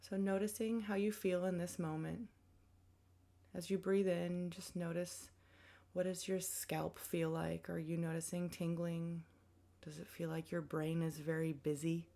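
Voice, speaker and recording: Female meditation guide, lowish voice with heavy vocal fry, gently inviting and conversational in delivery. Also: flat delivery, unhurried.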